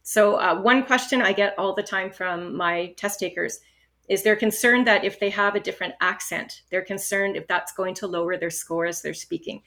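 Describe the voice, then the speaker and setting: Steady, encouraging woman test-prep instructor. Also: mid-range voice, moderate pace. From Canada. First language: English. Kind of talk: instructional podcast talk.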